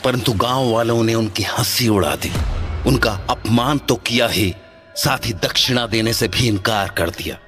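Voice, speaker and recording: A man's voice: medium pitch, measured pace, fairly bright tone, soft background music, lightly acted. A man playing a solemn documentary narrator, telling an ancient legend in a formal, dramatic style. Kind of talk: scripted mythological narration.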